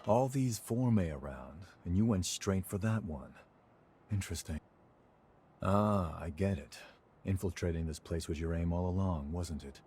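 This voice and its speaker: A man's voice: low voice, animated, measured pace, dark tone, husky, exaggerated voice. An actor playing an easygoing, wry male hunter in a video game cutscene, speaking in a casual, colloquial way. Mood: knowing, relaxed.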